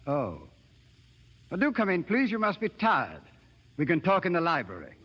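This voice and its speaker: An actor playing a courteous, composed retired professor in 1930s radio style. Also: male voice, high voice, wildly swooping pitch, normal speed, big-room sound, slight background noise. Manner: genteel, composed. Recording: muffled 1930s radio broadcast.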